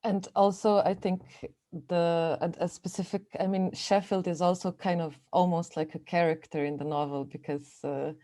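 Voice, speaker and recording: A woman's voice: lowish voice, fairly even intonation, normal speed, dark tone. A woman whose first language is Slovenian, interviewing an author in English and searching for her words. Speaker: translator interviewer. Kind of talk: interview questions.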